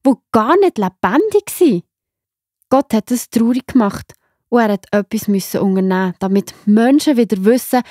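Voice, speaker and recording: A woman's voice: mid-range voice, darkish tone, no room sound, clean studio sound. A woman with a Swiss accent narrating a children's Bible story, explaining simply and speaking directly to young listeners.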